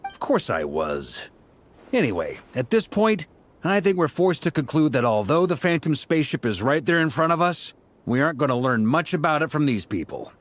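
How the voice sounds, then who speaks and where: A man's voice: fairly high pitch, big pitch swings, normal speed. A man playing an upbeat, playful young adventurer who reasons things out in a video game. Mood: explaining.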